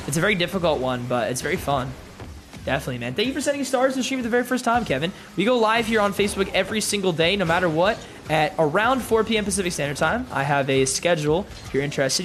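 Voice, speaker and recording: Laid-back young male streamer, higher voice. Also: a bit bright, a little hiss or hum. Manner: laid-back, filler-heavy, reads chat aloud. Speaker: gaming streamer. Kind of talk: casual live-stream chat.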